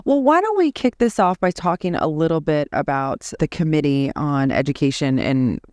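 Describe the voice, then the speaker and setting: A woman hosting a medical podcast interview at a congress, effusive and quick to laugh. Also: low voice, animated, darkish tone, no echo, clean studio sound. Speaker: podcast host. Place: exhibit hall at a congress.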